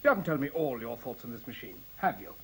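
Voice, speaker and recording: Husky, wry male scientist. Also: medium pitch, sing-song, quick pace. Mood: wry, sceptical. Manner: wry, questioning, precise. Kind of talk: radio play acting.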